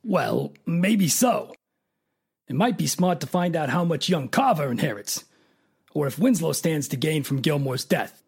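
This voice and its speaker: An actor playing a smug private detective who is a cold killer in a radio mystery drama, with a raspy, gravelly voice and a coolly sardonic manner. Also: mid-range voice, animated, a little slow, a little mellow, full character voice. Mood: skeptical.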